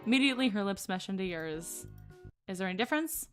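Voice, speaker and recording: A woman streamer reading a visual novel aloud and commenting on it, excitable and gushing, often giggling. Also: medium pitch, fast, soft background music. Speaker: VTuber streamer.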